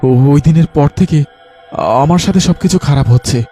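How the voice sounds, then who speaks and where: A man's voice: a little high, fairly quick, music in the background, voice pushed up, close to an everyday voice. A man playing a broke, hungry, brooding street mugger in a cartoon story. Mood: weary, troubled. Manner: grumbling, brooding. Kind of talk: Bengali cartoon voice acting.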